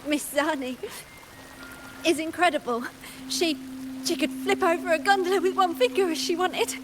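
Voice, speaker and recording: An eager young girl, with an extremely high voice in a video game. She sounds earnest and admiring, excitable yet a little hesitant, over background music. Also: normal speed, rough recording. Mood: admiring, excited.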